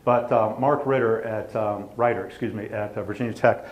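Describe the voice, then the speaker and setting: A man with a fairly low voice gives an informal technical talk. He speaks haltingly, feeling his way through the points in a self-deprecating manner. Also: fairly even intonation, lo-fi sound. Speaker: company presenter. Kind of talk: informal technical slide presentation.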